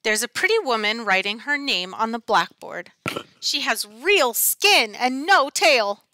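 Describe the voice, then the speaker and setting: A woman reads a children's picture book aloud like a teacher to a class. Her voice is fairly high and bright, and her delivery is slow and dramatic. The sound is dry and close. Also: lively intonation, no echo. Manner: dramatic, deliberate storytelling. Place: small classroom, indoors. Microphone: headset.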